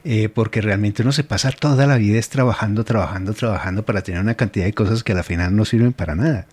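Middle-aged male radio host, low voice, thoughtful, pauses and fillers. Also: a little mellow, husky. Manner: reflective, halting with frequent fillers. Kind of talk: radio interview.

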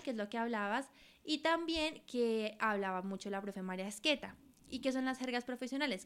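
A woman's voice: fairly high pitch, a bit bright, clean tone. A woman from Colombia co-hosting a radio program, explaining topics patiently with examples. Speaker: radio show co-host. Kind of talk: educational radio talk.

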